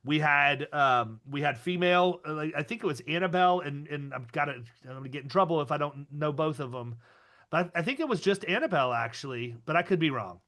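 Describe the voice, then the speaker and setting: A man hosting an insurance podcast, talking in an energetic, rambling way with frequent restarts. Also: a little high, a little fast. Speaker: podcast host. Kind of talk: casual interview chat.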